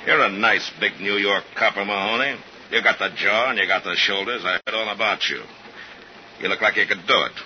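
Man acting pushy, needling beefy radio-drama cop, low-voiced. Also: fairly quick, natural voice. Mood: mocking. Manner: folksy, goading, tacks "huh" onto questions. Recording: muffled, noisy 1950s radio recording.

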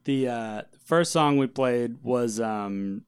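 A man, a band musician, chatting casually in a hesitant, halting way as he is interviewed. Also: medium pitch, clean tone. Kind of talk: casual chat.

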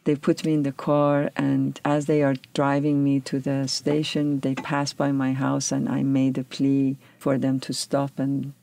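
A woman from Iran, recounting her personal history in an interview, reflective and pausing to search for words. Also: very deep voice, monotone, vocal fry, dark tone.

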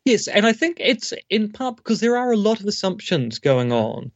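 A man's voice: high voice, big pitch swings, moderate pace, no echo, lo-fi sound. A man, a philosophy academic, explaining his views at length in an interview with dry humour. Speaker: guest academic, philosophy professor.

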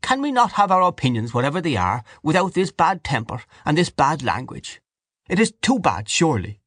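Guy doing a gentle old uncle begging for peace, voice pitched up. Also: very animated, normal speed, no room sound, clean studio sound. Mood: pleading. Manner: mild, pleading. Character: mild, pleading old uncle making peace.

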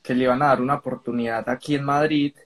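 Man, Colombian accent, mid-pitched voice, casual caller, polite, hesitant, explains in a roundabout way. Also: fairly even intonation, fast, fairly bright tone. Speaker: caller on a live stream. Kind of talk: casual spoken account.

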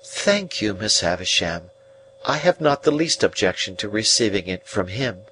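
A man voicing an earnest, remorseful young gentleman who speaks gently and with measured pleading. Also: lowish voice, big pitch swings, a little hiss or hum, rough recording.

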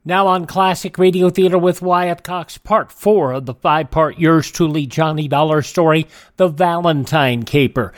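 Higher-pitched man introducing a radio show. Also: moderate pace. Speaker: radio show host and announcer. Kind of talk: scripted introduction.

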